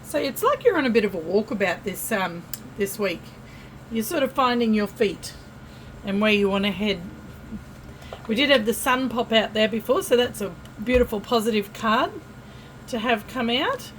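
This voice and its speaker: A friendly Australian woman chats through a tarot reading in a fairly high voice, stumbling and restarting now and then. Faint noise sits under her voice. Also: lively intonation, normal speed, fairly bright tone, rough recording. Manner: chatty, friendly, with frequent fillers and restarts. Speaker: tarot reader. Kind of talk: casual tarot reading to camera.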